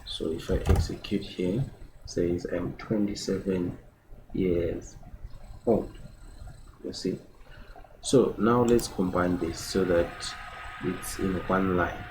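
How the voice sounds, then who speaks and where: Casual coding tutor in his twenties, slow deliberate delivery, audible typing in the background. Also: low-pitched, steady tone, poor audio. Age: 20s. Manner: repeats words and adds "right?" often. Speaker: programming tutor. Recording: keyboard typing and room noise behind.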